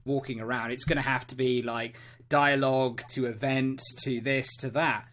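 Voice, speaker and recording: A man with a medium-pitched voice and a very bright, forward tone speaks conversationally. He hosts a casual discussion, posing questions to fellow panelists. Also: flat delivery, normal speed, slight background noise.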